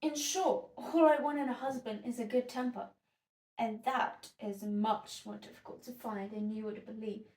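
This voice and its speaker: A woman's voice: on the high side, fairly even intonation, normal speed, darkish tone, natural voice. A woman playing an opinionated, indignant young woman in a classical stage monologue, dramatic, emphatic and sardonic. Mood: firm, wary. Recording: echoey room, distant microphone.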